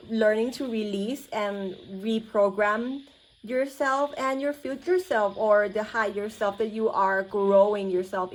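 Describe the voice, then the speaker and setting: A woman whose first language is Thai, giving a tarot card reading in a soothing, searching way with many pauses and restarts. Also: mid-range voice, a little mellow, poor audio. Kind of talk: spiritual pick-a-card reading.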